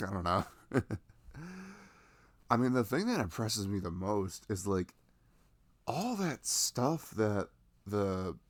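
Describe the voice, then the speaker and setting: A man's voice: on the low side, no room sound. A man playing a sweet, laid-back boyfriend in an intimate ASMR roleplay, rambling casually and playfully after movie night.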